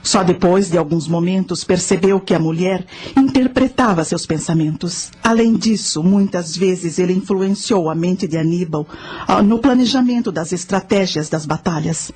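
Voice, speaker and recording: An actress playing a knowing spirit guide, telling ancient history and teaching in a measured, solemn way in a radio drama. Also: female voice, on the low side, darkish tone, close to an everyday voice. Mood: instructive. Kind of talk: scripted radio drama narration.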